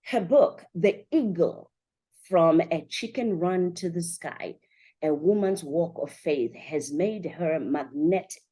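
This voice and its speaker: Enthusiastic lower-pitched woman hosting a show. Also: a little mellow. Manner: enthusiastic, chatty, addresses listeners directly. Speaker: show host and conference organiser. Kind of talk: upbeat podcast interview hosting.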